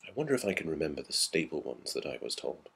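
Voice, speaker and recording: A man reading the part of a reflective gentleman recalling his school days in a storybook, musing and conversational. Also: very deep voice, big pitch swings, fast, vocal fry, breathy edge, heavily acted. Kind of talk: storybook character dialogue.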